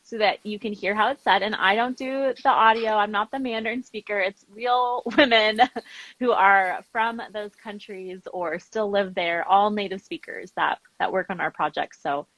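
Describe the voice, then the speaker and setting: A woman's voice: medium pitch, moderate pace, a bit bright, no room sound, rough recording. Chatty la woman on a video call, laughs a lot, says like constantly, dry close sound. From Los Angeles, USA. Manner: bubbly, laughs often, many "like" fillers. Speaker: founder of a family language program. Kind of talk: casual chat over a video call.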